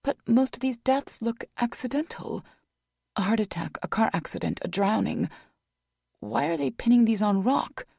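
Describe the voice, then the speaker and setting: A woman's voice: fairly high pitch, animated, moderate pace, creaky, dark tone, breathy edge, no room sound, clean studio sound, pitched above the performer's own voice, heavily acted. A woman narrator voicing an anxious young woman who speaks with urgent disbelief. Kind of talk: audiobook character voice.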